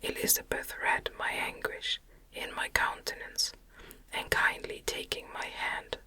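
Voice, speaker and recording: A woman reading a gothic novel as ASMR in a gentle, soothing, breathy whisper. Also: a little slow. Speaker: audiobook reader.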